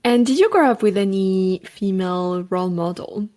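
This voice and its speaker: A woman hosting a podcast interview, friendly and upbeat, reacting with quick short affirmations. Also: medium pitch, darkish tone. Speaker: podcast host. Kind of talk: casual interview conversation.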